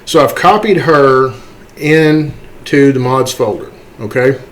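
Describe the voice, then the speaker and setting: A man's voice: medium pitch, takes it slowly, a bit bright. A man narrating a computer tutorial in a casual, conversational way, explaining step by step and often checking in with the listener. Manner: conversational, explanatory, often tags points with a checking "okay" or "right". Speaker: tutorial host, game modder.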